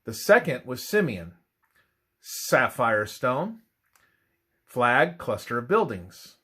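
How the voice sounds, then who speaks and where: A man reading a scripted religious narration aloud in a deliberate way, halting between short phrases. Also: mid-range voice, very animated, pushed and bright, slightly rough. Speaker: reader of a religious presentation.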